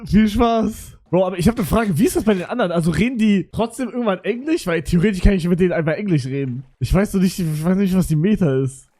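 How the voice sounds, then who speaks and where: A man from Luxembourg streaming a game in casual chat, excitable and prone to sudden yelps. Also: high voice, quick pace. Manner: excitable, yelps and shouts in bursts.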